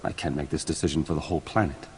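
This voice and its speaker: Rebel leader for a game: low, earnest, wary, long reverb over music. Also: male voice, fairly even intonation, rapid-fire, slight background noise. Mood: cautious. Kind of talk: video game cutscene acting.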